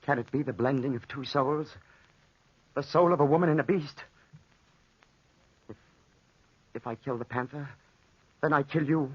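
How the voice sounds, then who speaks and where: An actor playing an obsessed, lovelorn soldier in a 1940s radio drama, with a brooding, melodramatic delivery. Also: male voice, medium pitch, moderate pace, long pauses, breathy edge, no room sound, lightly acted. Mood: grieving, unhinged. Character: obsessed, lovelorn soldier talking tenderly to a panther. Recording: dull, muffled 1940s radio recording.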